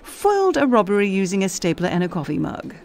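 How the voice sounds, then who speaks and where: A woman's voice: mid-range voice, big pitch swings, fast talker, a little mellow. An actress playing a self-assured, all-knowing female schemer in a comedic audio drama. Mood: confident gossiping.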